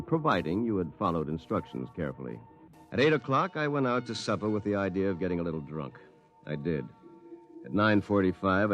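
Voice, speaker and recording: Wry lawyer narrator, 1950s radio style: low, deadpan, over background music. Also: male voice, lo-fi sound. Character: wry, hapless young lawyer telling his own story. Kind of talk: 1950s radio acting, first-person narration.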